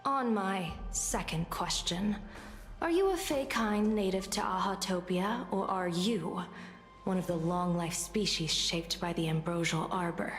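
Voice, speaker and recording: A woman voicing a composed female diviner in a video game, speaking in a measured, formal, teasing and probing way. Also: fairly low pitch, roomy sound, big-room sound, lightly acted. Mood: measured, questioning. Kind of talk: scripted video game voice acting. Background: music.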